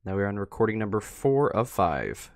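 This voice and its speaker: A man doing casual live commentary as a streamer, talking in a slangy, excitable way with mild substitute swears. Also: fairly low pitch, moderate pace, dry recording, clean studio sound. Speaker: streamer reacting to videos.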